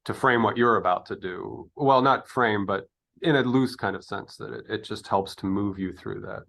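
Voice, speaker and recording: Low-voiced man, halting podcast host. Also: vocal fry, a bit bright. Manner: halting, thinking aloud. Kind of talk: casual interview conversation.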